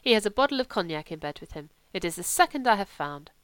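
A woman voicing a kindly, naive foreign monk in an audiobook, with a gentle manner. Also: mid-range voice, very animated, quick pace, clear, resonant tone, dry recording. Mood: gentle, pleased. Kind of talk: audiobook character reading.

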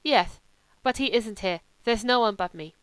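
A woman voicing a louche young German man with a slight lisp, speaking loud, offhand broken English. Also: on the high side, normal speed, clear, resonant tone, no echo, natural voice. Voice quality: lisp from a missing tooth.

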